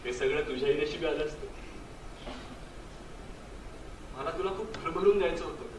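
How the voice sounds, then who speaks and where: A man's voice: a little high, fast, natural voice. An Indian man acting in a stage drama as a sentimental married man still devoted to a former love, speaking earnestly and wistfully. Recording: echoey stage, distant mic, background noise.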